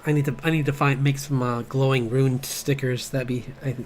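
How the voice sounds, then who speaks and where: A man streaming as he draws, chatting casually in a halting, rambling way as an artist. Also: medium pitch, fairly even intonation, a little hiss or hum. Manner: halting, rambling, chuckling asides. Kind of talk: casual livestream chat while drawing. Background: background music.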